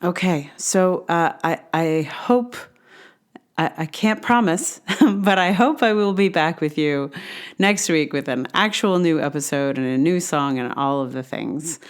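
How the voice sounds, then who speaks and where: A woman's voice: low-pitched, unhurried, clean tone. A woman hosting a solo podcast, talking casually in a rambling, hesitant way and often correcting herself as she goes. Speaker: podcast host.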